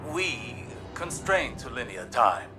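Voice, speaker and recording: A man's voice: medium pitch, big pitch swings, takes it slowly, over music. An actor playing a scholarly man in a video game, speaking in a precise, inquisitive way.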